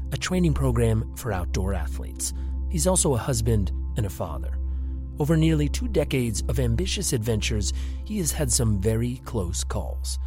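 A man hosting a podcast, giving a lively scripted introduction. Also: medium pitch. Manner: lively, announcing. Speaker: podcast host.

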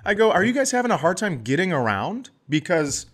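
A middle-aged man, a comedian co-hosting a podcast, telling stories in a casual, animated way and acting out the people in them. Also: high-pitched, a little fast. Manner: animated, acts out voices in his stories.

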